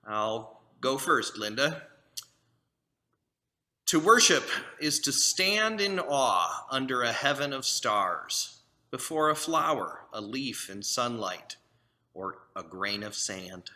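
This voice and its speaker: Bright-voiced male minister reading. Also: medium pitch, slow. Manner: relaxed, joking asides before solemn reading. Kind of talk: leading a responsive reading in a service. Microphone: video call.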